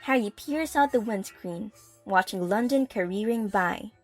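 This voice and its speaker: Woman narrator, dramatic storytelling, medium pitch, bit of music underneath. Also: lively intonation, unhurried.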